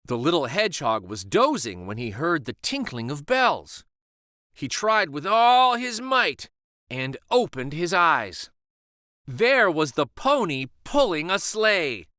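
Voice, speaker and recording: A man hosting a children's read-aloud, narrating a storybook in a slow, expressive and soothing way. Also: fairly high pitch, no room sound, studio quality.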